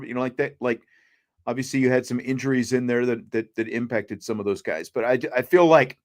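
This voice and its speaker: An American man speaking as a sports talk show host, discussing teams in a casual, conversational style. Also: medium pitch.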